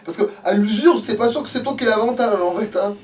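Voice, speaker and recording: Guy gaming, high voice, grumbles and swears a lot, bit far from mic. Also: fast talker, a little mellow. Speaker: let's player. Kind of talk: casual gameplay chat. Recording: distant mic, noticeable background noise.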